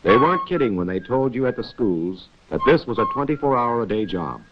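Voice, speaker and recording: A man's voice: medium pitch, wildly swooping pitch, fairly quick, very noisy. An American man narrating a 1940s instructional film, chiding its characters directly in a wry, rhetorical way. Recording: dull 1940s film soundtrack, music.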